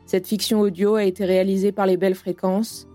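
Even, mellow female announcer. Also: medium pitch, fairly quick, soft background music. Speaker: announcer of the closing credits. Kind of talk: scripted narration.

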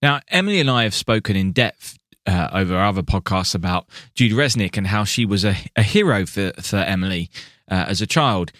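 A man hosting a podcast, asking a guest questions in a measured way with some fillers. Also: on the low side, lively intonation, fairly bright tone, no room sound, studio quality. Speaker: podcast host. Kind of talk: interview questions.

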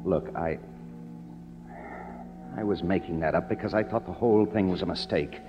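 A man's voice: sits low, moderate pace, natural voice. A man playing a cynical, wisecracking young screenwriter in a 1950s radio drama, with a wry, hard-boiled manner. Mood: exasperated concern. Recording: muffled old radio broadcast, loud music.

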